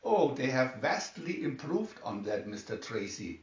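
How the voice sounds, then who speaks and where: A man's voice: medium pitch, sing-song, unhurried, pitched below the performer's own voice. An earnest, brainy male expert acted by a man reading aloud explains things politely, as in an adventure storybook. The sound is roomy, a little distant and slightly dull.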